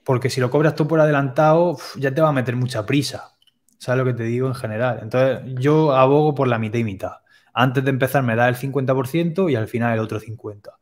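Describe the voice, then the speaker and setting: Man, young, step-by-step explainer, headset mic, video call, home room. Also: medium pitch. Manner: plain, step-by-step explanations with quick asides. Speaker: web development teacher, guest expert.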